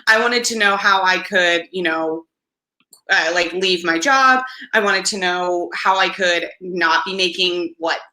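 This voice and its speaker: Slightly hoarse, bright, upbeat young woman. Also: lowish voice, a little slow, reverberant. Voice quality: raspy. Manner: enthusiastic, frequent laughs, encouraging. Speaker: host of a weekly live business show. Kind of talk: upbeat livestream talk.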